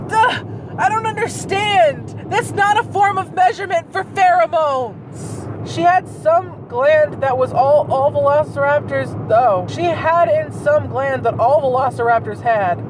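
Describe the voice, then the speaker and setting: Very high-voiced man, swearing incredulously, far from mic. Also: unhurried. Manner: profane, incredulous, breaks off reading to mock it. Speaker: host reading bad fan fiction aloud. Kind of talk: casual comedic read-aloud with running commentary. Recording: distant mic, loud vehicle and road noise. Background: vehicle noise.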